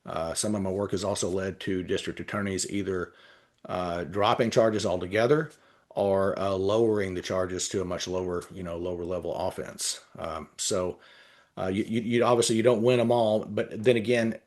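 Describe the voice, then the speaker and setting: A man in his fifties with a Texan accent, a retired police lieutenant answering interview questions in a measured way, with frequent pauses and restarts. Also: low-pitched, little pitch movement, darkish tone. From Texas. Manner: measured, many "uh" fillers and restarts.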